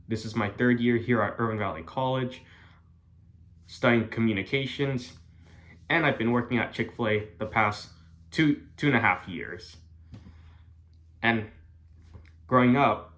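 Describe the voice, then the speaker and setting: A young man from the United States giving a prepared class speech in an earnest, careful, halting way. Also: on the low side, fairly bright tone. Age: young adult. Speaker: college student.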